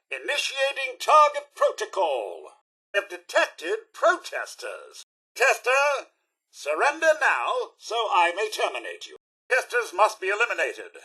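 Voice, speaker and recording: A man's voice: very high-pitched, sharp tone, husky, heavily acted. A male actor voicing a polite, fussy robot butler in a video game, with a British accent and a formal, theatrical delivery. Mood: stern, menacing. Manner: formal, overly courteous, theatrical.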